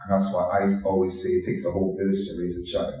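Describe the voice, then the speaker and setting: A middle-aged man, a dancehall artist, telling his story in a calm, measured way and often adding "you know". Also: low voice. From Guyana. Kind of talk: reflective interview storytelling. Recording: echoey room, mic far off.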